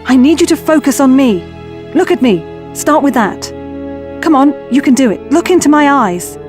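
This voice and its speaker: A woman's voice: high voice, expressive, normal speed, a little mellow, faint music behind. A woman playing an anxious, blunt, determined young woman in an audio drama. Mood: urgent, determined. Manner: urgent, questioning, wry.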